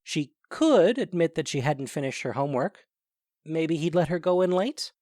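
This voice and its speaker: A man's voice: on the high side, animated, normal speed, warm tone, dry recording, studio quality. A man narrating a scripted audio drama as its storyteller.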